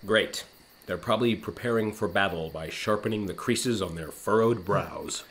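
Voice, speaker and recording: A man's voice: low-pitched, moderate pace, fairly bright tone. A man playing a pompous, sarcastic starship captain in a comic science fiction radio play, blustering and dismissive. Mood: dry, weary.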